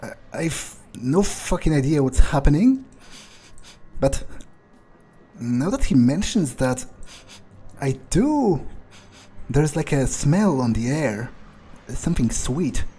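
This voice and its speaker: A man improvises as a freshly turned, foul-mouthed vampire in a game playthrough. His husky, dark-toned voice moves slowly, muttering and doubling back on words. He comes across as bewildered, irritable and disbelieving. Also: fairly high pitch, sing-song, heavily acted. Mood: wary, musing. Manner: hesitant, muttering, incredulous, halting repetitions. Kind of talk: improvised in-character game roleplay.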